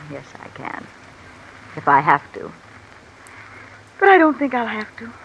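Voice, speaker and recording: Cool, calculating blackmailing wife, sharp-voiced. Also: female voice, medium pitch, measured pace, creaky, exaggerated voice, low-quality recording. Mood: knowing, confident. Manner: insinuating, controlled, coolly commanding. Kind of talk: 1950s American radio mystery acting. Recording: old radio recording with steady rain noise. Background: rain falling.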